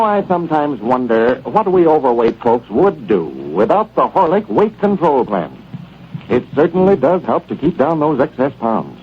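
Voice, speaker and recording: Old radio announcer guy, super lively sing-song pitch, folksy sales chat, dull hissy sound. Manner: folksy, chatty sales talk. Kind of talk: 1940s radio commercial announcing. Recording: dull old radio broadcast with faint hiss.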